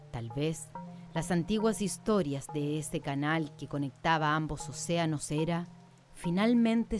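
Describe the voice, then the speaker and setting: A woman with a very low voice narrates a scripted radio drama. She tells the story in a measured, literary way, over faint background music.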